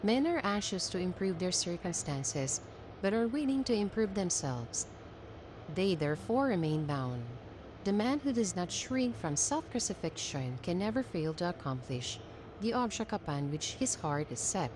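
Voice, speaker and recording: Woman, slightly low pitch, read-aloud narration, measured formal phrasing, noisy rumbling recording. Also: big pitch swings. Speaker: reader of a self-help text. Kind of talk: read-aloud narration of an old-fashioned essay.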